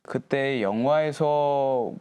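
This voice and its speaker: A young man from India, a guest on a TV talk show, speaking slow, searching Korean with restarts. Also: mid-range voice, dark tone, clean tone. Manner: slow, searching non-native speech with restarts. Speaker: guest, taekwondo athlete. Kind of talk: casual chat on a TV talk show.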